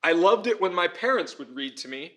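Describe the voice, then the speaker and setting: A man giving an earnest, informal conference talk as a pastor and teacher, often checking in with his listeners with a quick "right?". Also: high-pitched, lively intonation, sharp tone. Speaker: pastor, schoolteacher and conference speaker. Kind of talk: conference lecture with audience questions. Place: room at a church and school, before an audience. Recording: echoey room.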